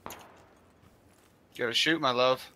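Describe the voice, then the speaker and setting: A man's voice: mid-range voice, steady tone, extremely slow, pushed and bright. A man making casual, blunt and often profane team callouts while playing an online game. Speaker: gamer in a squad stream.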